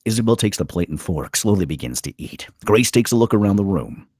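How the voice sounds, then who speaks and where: A man's voice: low voice, sing-song, brisk, darkish tone, a little hiss or hum. A man narrating stage directions from a script in a dramatic storytelling style.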